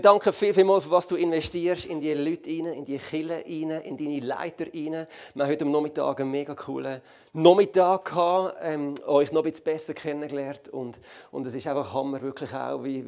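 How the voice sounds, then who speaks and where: A man in his thirties from the Basel region with a Swiss German accent, speaking as guest pastor on a church stage, lively and joking, with many fillers. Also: a little high, creaky. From Basel region, Switzerland. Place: large church hall on stage.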